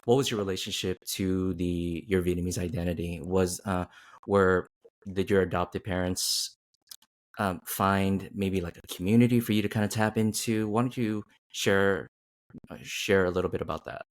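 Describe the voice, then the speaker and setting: A man hosting an interview, asking questions in halting, searching phrases with many fillers. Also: deep voice, fairly even intonation. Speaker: host.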